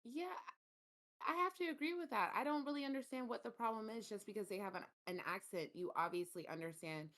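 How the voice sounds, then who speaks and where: A woman's voice: a little high, brisk, a bit bright. A woman who is a guest on a podcast, speaking emphatically and laughing easily in casual chat.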